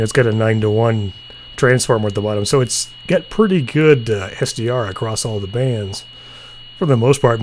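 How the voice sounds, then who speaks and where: A middle-aged man chatting casually and musing in an unhurried, low-key way as he hosts a hobby video. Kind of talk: casual chat while demonstrating radio software. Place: small indoor home room. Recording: humming, clearly noisy home recording.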